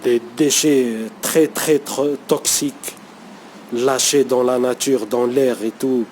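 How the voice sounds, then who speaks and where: Slow, hesitant non-native man. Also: medium pitch, fairly even intonation, a little mellow, a little hiss or hum. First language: not French. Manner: hesitant, full of fillers and restarts, keeps saying "you see". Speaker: vlogger. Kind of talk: unscripted talk to camera.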